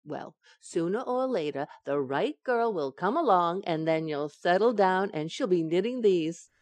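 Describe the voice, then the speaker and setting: Flippant young sitcom housewife, played by an actress: slightly low, very lively pitch, breezy wisecracks. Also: female voice, measured pace, no echo. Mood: cheerful, matter-of-fact. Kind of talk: radio sitcom acting.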